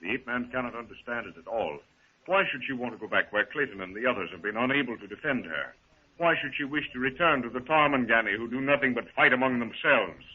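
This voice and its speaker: Husky male narrator, tinny old radio. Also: medium pitch, lively intonation, quick pace. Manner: formal, descriptive storytelling. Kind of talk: scripted 1930s radio drama narration. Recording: thin, dull 1930s radio recording.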